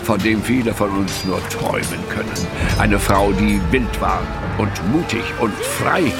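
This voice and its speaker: Slow, low-voiced pirate storyteller. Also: male voice, creaky, over loud music. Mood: portentous, solemn. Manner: deliberate, portentous. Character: male storyteller of old pirate adventures, dramatic. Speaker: narrator. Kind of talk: dramatic scripted narration.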